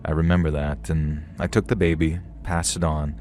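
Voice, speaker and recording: A man narrating a story in a calm, steady storytelling manner. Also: a bass voice, moderate pace. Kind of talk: scripted horror story narration.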